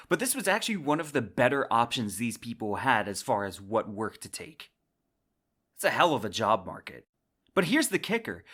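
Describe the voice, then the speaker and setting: A man's voice: mid-range voice, fairly bright tone. Wry man hosting a podcast. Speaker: podcast host. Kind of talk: scripted podcast commentary on science fiction.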